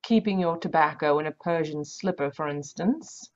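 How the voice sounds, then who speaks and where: A woman playing a genteel, affectionate, gently chiding Victorian doctor's wife in an old-time radio mystery. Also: sits low, normal speed, lightly acted. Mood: fond reproach. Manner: polite, fond, proper turns of phrase. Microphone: video call. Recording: dull, muffled video-call audio.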